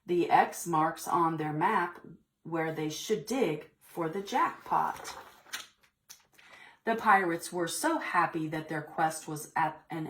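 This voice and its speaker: A woman reading a storybook aloud to preschoolers in an expressive, theatrical, deliberate way. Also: on the low side. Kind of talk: expressive storybook read-aloud for young children.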